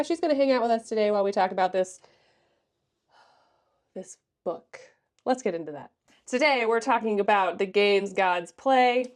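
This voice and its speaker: A woman in her late twenties, stuffed up and congested, giving a chatty, sassy book review with plenty of fillers. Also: mid-range voice. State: congested from allergies. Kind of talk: casual book review vlog.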